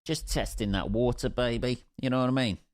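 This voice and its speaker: A man's voice: mid-range voice, brisk, no room sound, clean studio sound. A young man from Northampton with an English accent, a stand-up comedian telling a rambling, sweary story on his own podcast. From England. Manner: sweary, rambling, jokey asides. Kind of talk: casual solo podcast storytelling.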